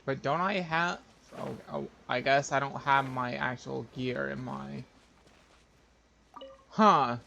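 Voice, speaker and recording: Slow-talking man streaming a game. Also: medium pitch, fairly even intonation, gravelly, bright, forceful tone, a little hiss or hum. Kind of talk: casual joking live game commentary.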